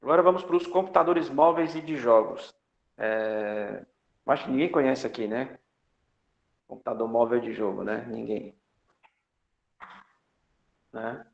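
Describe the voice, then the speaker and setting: A man's voice: mid-range voice, unhurried, creaky, long pauses. A male computer architecture lecturer, teaching an online class in a chatty style, full of fillers and tag questions. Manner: jokey asides. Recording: echoey, dull lo-fi lecture audio.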